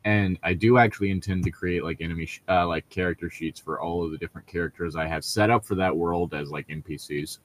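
A man's voice: sits low, fast talker, hardly any pauses. A man co-hosting a podcast in casual banter, with a sardonic, sweary way of talking. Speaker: podcast co-host.

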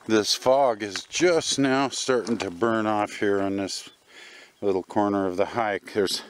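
Older male hiker, lower-pitched voice, informal and halting trail narration into a handheld camera outdoors. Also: a little hiss or hum. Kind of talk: casual trail commentary. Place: outdoors on an open hillside trail.

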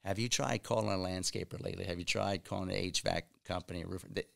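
A man's voice: deep voice, fairly even intonation, quick pace, creaky, a bit bright. A man, hosting a casual studio podcast conversation, emphatic and prone to repeating himself. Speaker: podcast host. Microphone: boom mic on stand.

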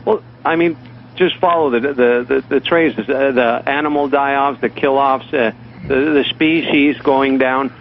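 Male remote panelist, phone-quality sound with slight noise, piecemeal halting delivery of news. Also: mid-range voice, fairly even intonation. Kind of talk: informal spoken news report. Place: remote call into radio show. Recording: thin phone line with faint noise.

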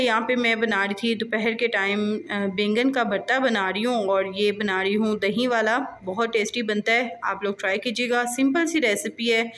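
A woman's voice: medium pitch, a bit bright. A young adult woman, narrating a casual family vlog voice-over and chatting directly to viewers with many hesitations. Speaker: vlogger.

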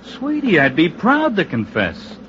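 A muffled, faintly noisy 1950s radio recording of a flippant man. His fairly high voice swings around freely. He talks in breezy, sarcastic slang. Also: huge pitch swings, a little slow, fairly bright tone, exaggerated voice. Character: flippant, slangy man, a cheerful self-declared coward. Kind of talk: 1950s radio drama acting.

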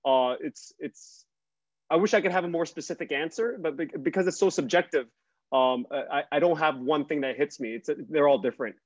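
A man's voice: a little high, a little fast, no echo. A middle-aged man talking conversationally as a guest on a panel, reflective and hesitant, telling things through anecdotes. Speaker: guest writer and podcaster. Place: small bright home room. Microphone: video call, earbuds. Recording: dull, lo-fi video call audio.

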